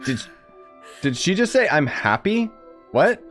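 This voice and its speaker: Male streamer, sarcastic running commentary, animated pitch. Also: measured pace, faint music behind. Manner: sarcastic, jokey asides. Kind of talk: let's play commentary.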